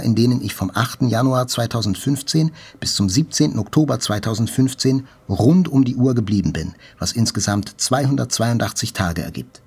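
A man narrating a literary first-person story for a radio drama in a measured, reflective manner. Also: on the low side, husky.

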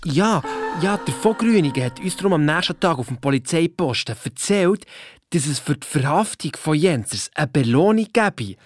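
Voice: medium pitch, lively intonation, moderate pace, soft background music, close to an everyday voice. A performer playing a cheeky, boastful boy detective with a Swiss German accent, chipping in colloquially in a children's audio drama. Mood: thrilled. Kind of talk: first-person storytelling in a children's audio drama.

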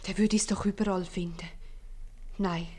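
A woman acting as a timid, hushed young sweetheart in an old radio comedy. She speaks Swiss German at medium pitch, over faint noise and clicks. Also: fairly even intonation, moderate pace, close to an everyday voice. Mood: anxious, hushed. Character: timid young sweetheart afraid of her father.

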